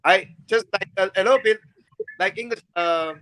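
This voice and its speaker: A man's voice: sits high, moderate pace, fairly bright tone, poor audio. A man from Taiwan speaking as a guest in a casual talk, hesitant and repeating his words. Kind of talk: casual conversation.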